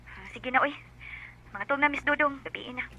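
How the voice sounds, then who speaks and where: A woman's voice: sits high, normal speed, sharp tone, rough recording. An actress playing a forward, teasing woman in a Bisaya radio drama, with a Filipino accent. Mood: nagging, sleepy. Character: forward women of a radio drama: nagging wife, flirty bar hostess.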